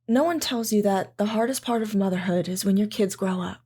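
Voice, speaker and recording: A tender, reflective mother in an audio drama, acted by a woman. She is wistful and sentimental, both when she narrates and when she talks, with a medium-pitched voice. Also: a little fast, mellow, breathy edge, lightly acted. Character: loving, wistful mother recalling camping with her daughter.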